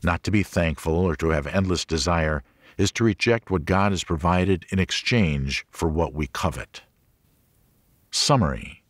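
A man reading a religious audiobook as a scripted narration, in a measured, clearly enunciated manner. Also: deep voice, no room sound, clean studio sound.

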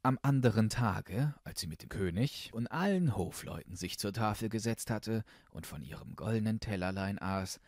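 A man's voice: fairly low pitch, expressive, normal speed, hardly any pauses, darkish tone, dry recording, clean studio sound. A man narrating a fairy tale as an audiobook, reading expressively from a script.

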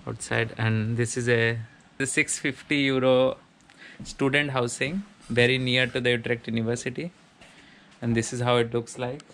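A man's voice: mid-range voice, fairly even intonation, normal speed. A man casually narrating a walkthrough of a small student apartment, listing its features in a plain, matter-of-fact way. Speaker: vlogger giving a house tour.

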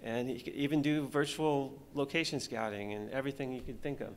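A middle-aged man speaking on a conference panel as a film director, halting, with many fillers and restarts, into a table mic on stage. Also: medium pitch, fairly even intonation. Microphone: table mic on stand.

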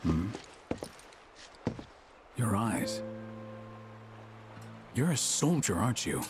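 An actor playing a mild, wistful middle-aged father in a game cutscene, measured and polite. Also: lowish voice, sing-song, heavily acted. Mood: curious. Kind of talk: dramatic game cutscene acting. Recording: loud music over the voice.

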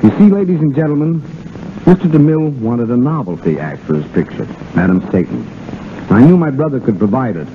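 A man's voice: mid-range voice, expressive, a little fast. An American man as a guest on 1930s radio, giving scripted banter in a wisecracking, colloquial way. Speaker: radio guest, dance director. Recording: faintly noisy, narrow-band 1930s radio.

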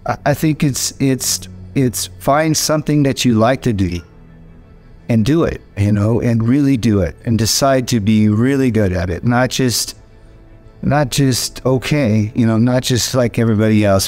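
A man's voice: fairly low pitch, darkish tone. Earnest older man, rambling advice. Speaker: interviewee. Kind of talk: casual advice to camera. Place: hotel room, seated in armchair.

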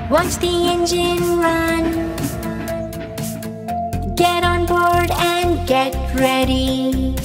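A singer voicing the ghostly host of a haunted train in a slow sing-song children's rhyme. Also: female voice, extremely high pitch, level tone, over loud music, full character voice. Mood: eerie.

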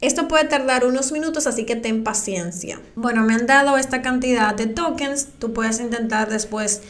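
A woman narrating a screen-recorded software tutorial, patiently explaining each step and speaking directly to her viewers. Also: a little high, normal speed. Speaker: crypto tutorial creator. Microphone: voice-over on screen recording.

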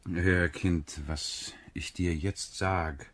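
A man's voice: low voice, steady tone, extremely slow, fairly bright tone, heavily acted. A man in a German radio drama playing a stern, blustering yet doting middle-aged father. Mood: gentle, coaxing.

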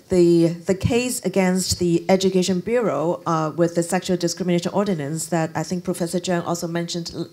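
A middle-aged woman explaining as a conference panelist into a handheld microphone, halting and repeating words as she goes. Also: on the low side, darkish tone. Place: conference function room, indoors.

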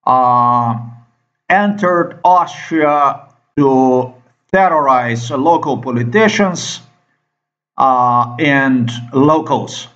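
A man from Slovenia with a Slovenian accent, giving an unscripted monologue in a halting, emphatic and profane manner. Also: mid-range voice, a bit bright. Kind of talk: unscripted monologue commentary over screen recording. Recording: dull lo-fi microphone sound.

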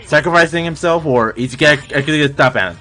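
A man's voice: a little high, racing, faint music behind. A young man hosting a reaction video, exclaiming and laughing as he watches, recorded on a webcam in a small room. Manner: excitable, exclaiming and laughing at the screen. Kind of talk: live reaction commentary. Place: small indoor room with curtains.

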